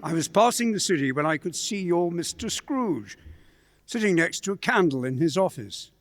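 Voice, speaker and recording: An actor playing a chatty, gossiping husband in a theatrical radio play. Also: male voice, fairly high pitch, sing-song, normal speed, no room sound, clean studio sound. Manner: conversational, gossipy. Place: live stage performance in a church.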